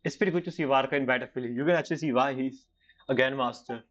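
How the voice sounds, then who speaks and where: A man's voice: mid-range voice, a bit bright. Man reacting to a game, slightly muffled. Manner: halting, non-fluent English phrasing. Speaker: game streamer. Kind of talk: casual gameplay reaction commentary.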